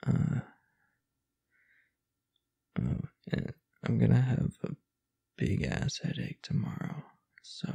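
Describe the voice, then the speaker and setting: Man acting a very deep, husky drunk roommate. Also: mellow, heavily acted. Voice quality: husky, breathy, gravelly low voice. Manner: slow, halting, slurred drowsy tipsy delivery. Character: drunk, affectionate young male roommate confessing his crush. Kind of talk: intimate roleplay audio acting.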